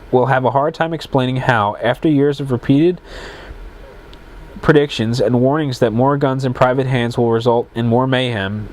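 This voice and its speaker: A man with plugged, congested sinuses hosting a casual solo podcast, reading news items aloud and chatting between them, often stumbling and correcting himself. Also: mid-range voice. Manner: rambling, self-correcting, stumbles over words. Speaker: podcast host.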